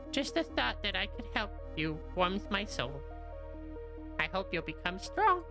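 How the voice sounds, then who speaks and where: Woman voicing a tiny lonely creature: very high, squeaky, gentle, wistful; light music under.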